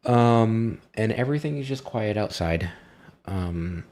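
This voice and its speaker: A man acting as game master, narrating a tabletop game in a casual, halting, improvised and easily amused way. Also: fairly low pitch, expressive, a little mellow.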